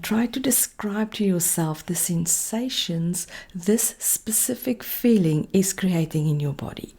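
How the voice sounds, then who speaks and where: Woman, meditation coach, very slow and deliberate, mellow, soft near-whisper, calm guided instruction. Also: on the low side, gravelly, breathy edge. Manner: calm, deliberate, gently directive.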